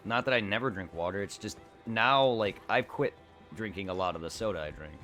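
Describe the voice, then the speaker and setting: Quiet, rambling low-voiced man streaming. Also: brisk, soft background music. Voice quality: quiet normal voice. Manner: rambling, self-correcting, laughs easily. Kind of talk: casual chat during a game stream. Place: home streaming setup.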